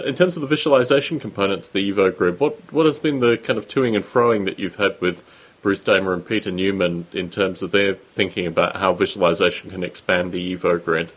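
A man hosting a podcast interview, asking long, measured questions. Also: low voice, slightly rough, rough recording. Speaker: podcast host.

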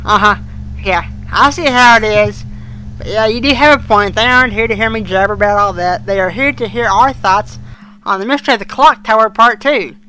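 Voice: medium pitch, brisk, sharp tone, low-quality recording. A young podcast co-host chatting casually and giving opinions in an informal discussion. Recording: faint background noise.

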